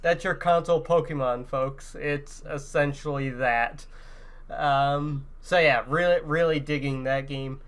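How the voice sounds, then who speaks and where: A man's voice: a little high, fairly even intonation, a little slow, fairly bright tone, clear, resonant tone. A man co-hosting a gaming podcast in casual, now and then profane chat.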